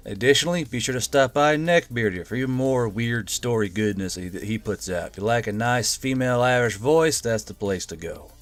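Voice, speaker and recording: A man's voice: medium pitch, fairly quick, hardly any pauses. A man narrating a story in audiobook style as the host and reader.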